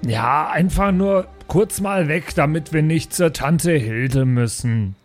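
Male role-play player, fairly high voice, loose improvised chat, music in the background. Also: slow. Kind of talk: casual improvised in-character chat.

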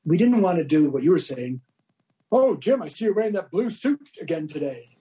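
A man's voice: on the high side, sing-song, fairly quick, warm tone, breathy edge. A man answering as an interview guest in a discursive, explanatory way. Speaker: podcast guest, audio drama director.

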